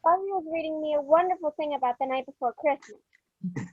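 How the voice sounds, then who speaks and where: A woman playing an excited, affectionate young girl in an old-time radio drama. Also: high voice, a little fast, natural voice. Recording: thin, phone-like band-limited sound.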